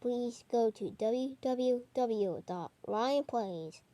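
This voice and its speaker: Slow, halting, mellow female amateur announcer. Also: on the high side. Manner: halting, improvised delivery with stumbles. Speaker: narrator of a homemade emergency alert scenario. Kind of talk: amateur mock emergency broadcast announcement.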